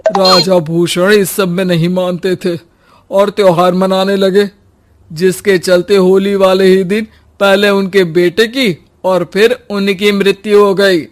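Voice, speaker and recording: A woman's voice: mid-range voice, level tone, a little slow, lightly acted. A woman voicing worried, superstitious village elders in a cartoon story, emphatic and pleading.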